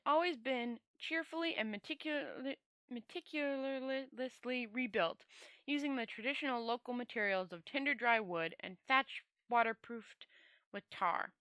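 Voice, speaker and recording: A woman reads a fantasy novel aloud in a bright, fairly high voice. She is an expressive storyteller who often trips on words and goes back over phrases, recorded close and dry. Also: no room sound.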